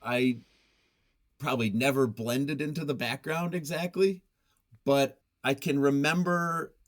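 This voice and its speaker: A man's voice: mid-range voice, fairly even intonation, a little slow, no echo, clean studio sound. A man speaking as a writer being interviewed, casual and conversational, with a goofy, self-deprecating manner. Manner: self-deprecating, goofy, chuckles often while talking. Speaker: interview guest, writer and journalist.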